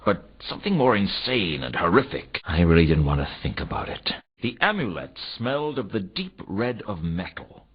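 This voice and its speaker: An actor playing a weary, wry werewolf private detective, narrating a noir game's inner monologue in a deadpan, brooding way. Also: male voice, fairly low pitch, slightly rough. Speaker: narrator and protagonist of a detective adventure game. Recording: dull, compressed 1990s game audio.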